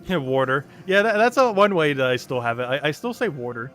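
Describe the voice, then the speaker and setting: Fast-talking New Jersey man streaming. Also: fairly high pitch, sing-song, fairly bright tone, faint music behind. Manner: fast, rambling, chuckles often. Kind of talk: casual gameplay commentary.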